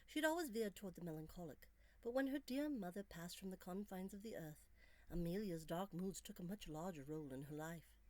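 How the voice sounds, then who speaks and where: A woman author reading her own gothic romance story as scripted narration, measured and expressive. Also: fairly low pitch, rough recording.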